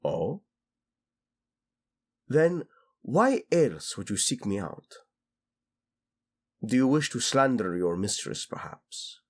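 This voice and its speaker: Courtly prince, male voice actor: slow, husky, imperious yet teasing, romantic audio drama over music. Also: mid-range voice, big pitch swings. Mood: impatient, commanding. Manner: formal, deliberate speech sprinkled with Spanish. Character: haughty, teasing Spanish-speaking fantasy prince, courtly and imperious. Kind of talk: scripted romantic audio roleplay.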